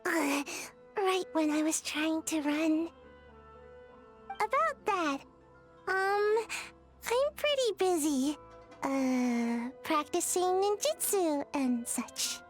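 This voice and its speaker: A woman's voice: very high-pitched, animated, drawn-out words, faint music behind, full character voice. An actress voicing a sleepy, grumbling young ninja girl in a video game, with a reluctant, hesitant delivery. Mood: reluctant, weary. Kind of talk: English game voice acting.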